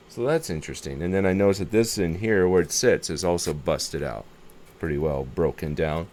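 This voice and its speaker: A man's voice: deep voice, darkish tone. A man giving a casual, unscripted hands-on show-and-tell of a repair, muttering to himself and thinking aloud as he works, recorded on a camera microphone. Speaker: hobbyist presenting a radio repair video. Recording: clear background noise and ambience.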